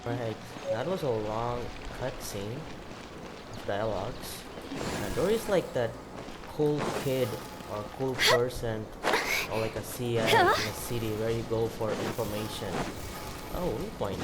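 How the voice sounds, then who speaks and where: A man's voice: mid-range voice, unhurried. A man giving casual commentary as he streams his gameplay. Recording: very noisy mic with clicks.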